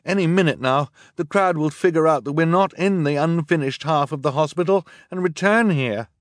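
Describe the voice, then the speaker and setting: An earnest bookish boy from a children's storybook, played by a man. His voice is pushed high and loud, urgent and explanatory, in a dry recording. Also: normal speed, no room sound, natural voice. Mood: alarmed. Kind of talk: audiobook character acting.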